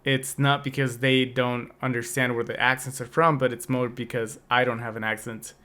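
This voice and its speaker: A man's voice: mid-range voice, level tone, normal speed, bright, forceful tone. A young adult man co-hosting a podcast, talking in a casual, reflective way with many restarts. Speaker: podcast co-host. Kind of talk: casual chat.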